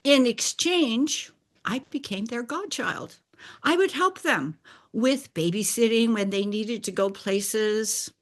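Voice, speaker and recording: An older woman whose first language is Bulgarian, telling a personal story in a deliberate, explanatory way and clearing her throat now and then. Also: a little high. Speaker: speaker sharing a personal story. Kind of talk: slow scripted personal narration.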